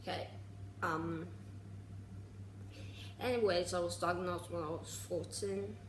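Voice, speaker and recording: A woman around twenty talking casually in a personal vlog, halting and restarting, with sarcastic asides. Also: lowish voice, fairly even intonation, roomy sound, slight background noise, low-quality recording. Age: 20s. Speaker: vlogger.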